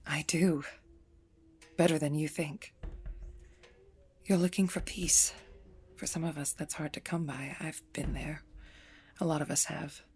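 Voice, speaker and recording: An actress playing a grave, knowing guesthouse landlady in an audio drama, speaking in a hushed, earnest, ominous manner. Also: deep voice, steady tone, measured pace, long pauses, slightly rough, exaggerated voice. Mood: sympathetic.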